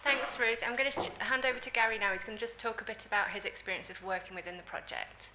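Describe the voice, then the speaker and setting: A woman chairs the session, handing over quickly between speakers. Her words come fast, and loud noise runs under her voice. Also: a little high, fairly even intonation. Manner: quick, brief handovers. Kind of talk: introducing speakers.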